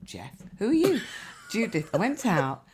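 A woman's voice: mid-range voice, huge pitch swings, measured pace, slight background noise. A woman, a podcast co-host telling rambling, sweary anecdotes in casual chat, her nose slightly stuffy.

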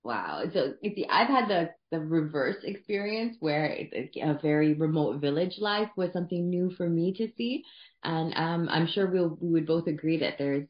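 Lower-voiced woman host, echoey room. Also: expressive, moderate pace. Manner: chatty, conversational, some fillers. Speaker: podcast host. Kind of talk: interview questions. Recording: dull sound in an echoey room.

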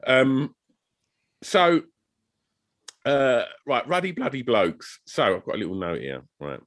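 A man's voice: mid-range voice, huge pitch swings, slow pace, a bit bright. A British man co-hosting a podcast in casual, teasing banter, often laughing. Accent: British English. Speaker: podcast co-host.

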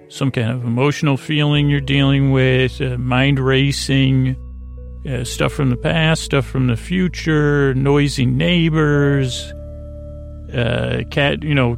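A man with a creaky voice hosting a sleep podcast, mumbling and stumbling through a meandering, gently absurd bedtime monologue. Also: mid-range voice. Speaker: podcast host. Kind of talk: rambling bedtime monologue to lull listeners to sleep.

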